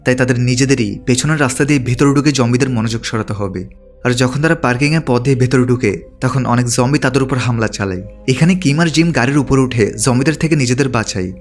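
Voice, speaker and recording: A man reading a scripted story recap as a narrator. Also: medium pitch.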